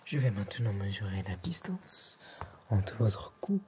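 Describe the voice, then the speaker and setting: A man whispers softly and breathily, giving polite, gentle, formal instructions as a tailor in an ASMR roleplay. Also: on the low side, sing-song, a little slow. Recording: clear background noise with clicks.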